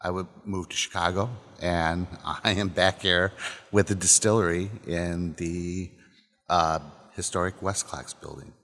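A man from Illinois, a distillery co-owner, answers questions in a halting way with many ums, trailing off softly. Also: deep voice, fairly even intonation, big-room sound. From Illinois, USA. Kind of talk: casual interview answers. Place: distillery building interior.

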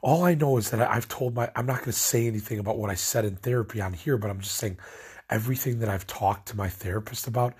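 A stoned young man from Illinois rambles through a late-night rant, circling back on himself and padding every thought with "like." His voice sits low and sounds heavily husky and breathy. He talks casually to camera as a vlogger. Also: fast talker, a little mellow. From Illinois, USA. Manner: meandering, filler-heavy, lots of "like".

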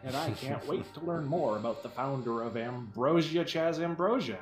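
A man's voice: medium pitch, animated, moderate pace, faint music behind. A man co-hosting a podcast in casual chat, with dry, wry quips. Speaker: podcast co-host. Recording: roomy echo on the voice.

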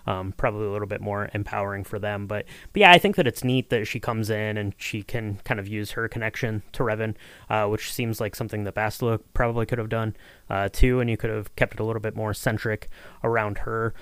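A man's voice: lowish voice, steady tone, brisk, a bit bright, smooth, dry recording. A man hosting a casual podcast discussion in a conversational manner, steering it along. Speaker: podcast host.

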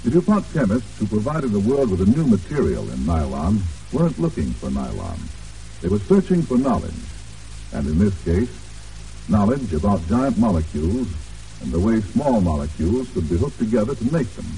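An American man announcing on 1940s radio, reading a script in a formal, polished way with deliberate emphasis. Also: on the low side, very animated, warm tone. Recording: old 1940s radio broadcast, distant, music.

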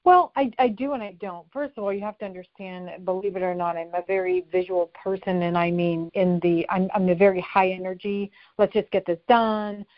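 A middle-aged woman with a voice of ordinary pitch, talking with high energy and often restarting and repeating words. The recording is slightly dull and lo-fi. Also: fairly even intonation, a little fast, hardly any pauses. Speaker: guest. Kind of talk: casual interview talk.

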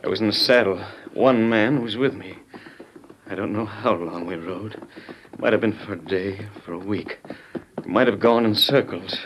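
An actor playing an earnest army major undercover as a gunfighter, telling his story gravely in an old-time radio drama. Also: fairly low pitch, dull audio, close to an everyday voice. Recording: noisy vintage radio recording.

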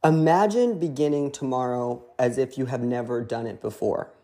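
A man in his thirties from Connecticut with a Connecticut accent, hosting a motivational podcast in an animated, emphatic monologue that now and then includes swearing. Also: medium pitch, moderate pace. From Connecticut, USA. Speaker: podcast host.

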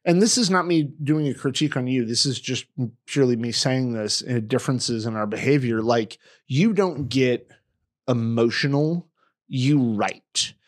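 A man's voice: mid-range voice, normal speed. Young guy cohosting a podcast, cusses nonstop, gets worked up and rambles, voice sits mid-range. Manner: emotional, heavy swearing, rambling restarts. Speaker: podcast co-host. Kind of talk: casual podcast chat.

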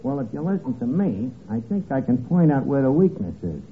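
A man's voice: mid-range voice, very animated, fairly quick, close to an everyday voice. A man playing a brash, scheming tavern manager who wisecracks and bosses people in slangy old-time radio comedy. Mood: wheedling, sly. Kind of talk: 1950s radio comedy acting. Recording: noisy, tinny vintage radio recording.

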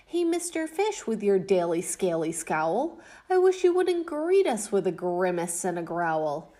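A woman voicing a male jellyfish in a storybook, gently scolding in a raised voice. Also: medium pitch, very animated, a little slow. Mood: reproachful. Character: drifting male jellyfish, mildly complaining.

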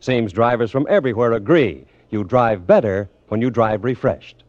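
A man with an American accent announcing in a scripted, polished and measured 1950s radio style. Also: medium pitch, dry recording. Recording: dull, band-limited old radio recording.

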